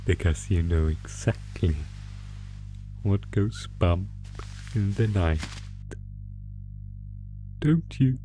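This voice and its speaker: A low-voiced male monster, acted by a man, drawls cruel taunts to captive prey. The delivery is theatrical and unhurried, in the style of an ASMR horror roleplay. Music plays clearly beneath the voice. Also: lively intonation, warm tone, exaggerated voice. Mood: menacing, gloating.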